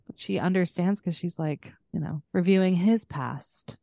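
A woman co-hosts a casual pop-culture podcast in a slightly low voice. She talks in a loose, giggly, conversational way. The sound is dry, with no room echo. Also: moderate pace, gravelly, mellow. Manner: giggly, laughs often while talking; conversational, frequent "like" and "you know". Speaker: podcast co-host.

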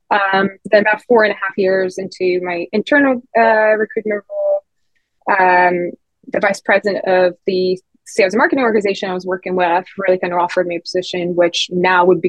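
A woman's voice: on the low side, no room sound, poor audio. A woman in her thirties, originally from Bulgaria, chatting freely as a podcast guest about her career, with many fillers and restarts. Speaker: guest, head of people experience. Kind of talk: conversational podcast interview.

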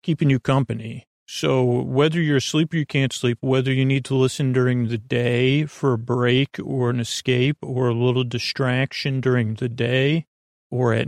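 A man's voice: mid-range voice, gravelly, a little mellow, no room sound. A man hosting a podcast in a casual, goofy bedtime monologue, meandering and self-deprecating, often trailing off and restarting his sentences. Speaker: podcast host.

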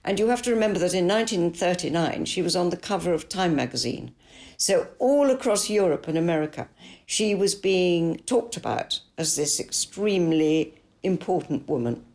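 An older woman author answering interview questions in a measured, thoughtful and frank way. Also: fairly low pitch, animated, a little mellow. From Italy. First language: English. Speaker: author and biographer.

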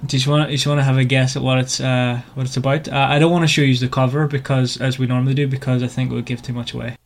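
A man co-hosting a casual book club podcast, speaking in a hesitant, halting way. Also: medium pitch, level tone. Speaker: podcast co-host.

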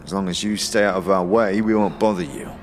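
A man's voice: low-pitched, fairly quick, close to an everyday voice. A man voicing a brutish, swaggering alley thug in a fantasy audio drama, sardonic and menacing, speaking in street slang.

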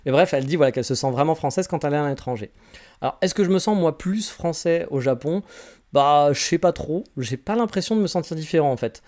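A man's voice: fairly high pitch, lively intonation, fast talker, darkish tone. A man in his forties from France, hosting a casual solo podcast. He answers listener questions with candid, rambling advice and often restarts his sentences. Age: 40s. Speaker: podcast host.

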